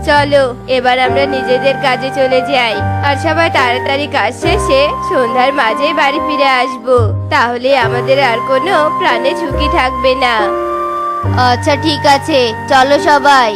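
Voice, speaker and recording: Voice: sits high, fairly even intonation, normal speed, natural voice. An actor voicing a cautious forest bird in a children's cartoon, practical and earnest in manner. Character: sensible cautious forest bird advising the flock. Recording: loud music bed behind.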